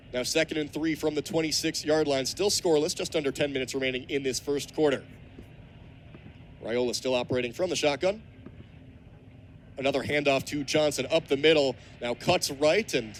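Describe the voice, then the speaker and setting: A man in his twenties with an American accent, calling live football play-by-play on the radio. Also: on the high side. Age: 20s. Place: outdoor college football stadium. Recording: outdoor stadium broadcast, ambient background noise.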